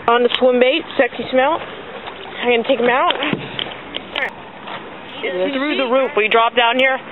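A teenage boy with an American accent giving casual fishing commentary outdoors on harbor docks into a handheld camera. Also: extremely high pitch. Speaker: fishing vlogger. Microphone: handheld camera microphone. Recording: tinny phone-like audio, loud outdoor noise.

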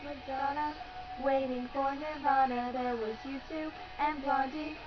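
A woman's voice: high voice, fairly even intonation, unhurried. A young woman singing along to pop-rock in an amateur, playful way at home. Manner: playful, giggly. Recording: dull lo-fi home recording with loud music. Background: pop-rock song playing.

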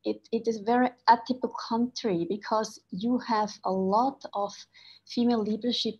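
A woman from Switzerland gives panel remarks over a video call in a level, husky voice. She talks earnest and measured, pausing on fillers, and the sound is a little dull and lo-fi. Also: fairly high pitch, fairly even intonation, darkish tone.

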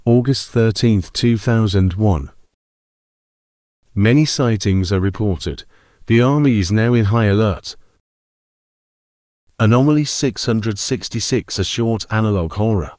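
Low, dark-voiced male narrator. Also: a little slow, long pauses, no echo, clean studio sound. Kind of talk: scripted analog horror narration.

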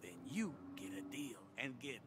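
An actor playing an eager, fussy American sports agent in a video game cutscene, wordy and stammering when worked up. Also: male voice, medium pitch, normal speed, noisy background, natural voice. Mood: excited, pushy. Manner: wordy, stammering, over-excitable salesman.

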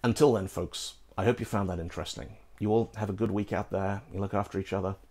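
A man from Britain walks through a programming topic in a studio, his voice sitting a bit low with a touch of huskiness. He talks with lively, gesturing energy and tosses in playful asides to the viewer. It sounds like a scripted tech explainer from a seasoned host. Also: fast talker. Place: studio in front of a green screen.

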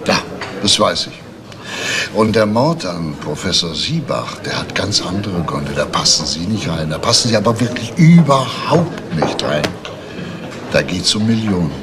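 An actor playing a firm, paternal police detective, deliberate and insistent in a crime radio play. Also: male voice, low voice, very animated, a crowd in the background. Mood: probing, firm. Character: calm, probing male police detective, paternal but firm. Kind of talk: German radio crime drama acting. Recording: noisy retro radio drama recording.

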